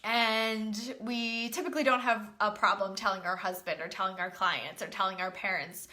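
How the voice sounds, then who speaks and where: A woman's voice: medium pitch, fairly even intonation, normal speed, pushed and bright. A woman business coach giving a casual, emphatic motivational talk, slightly nasal and congested from a cold, repeating her key phrases.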